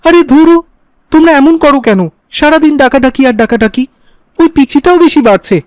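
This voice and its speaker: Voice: sits high, moderate pace, darkish tone, no room sound, close to an everyday voice. A performer playing a sulky, mischievous, impatient little boy in a cartoon. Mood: sulky, irritated. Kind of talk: cartoon dialogue.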